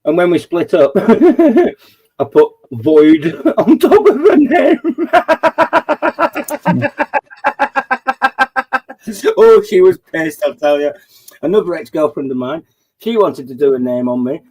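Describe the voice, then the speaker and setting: A man's voice: on the high side, very animated, slow pace, long pauses, dark tone, slightly rough, soft background music, low-quality recording. A man, hosting a casual late-night chat, rambling and boasting and laughing at his own stories. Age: 40s. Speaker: host of a live chat panel.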